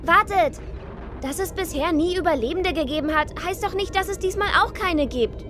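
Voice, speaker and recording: High-voiced, bold teenage apprentice girl. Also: moderate pace, over music. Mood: insistent.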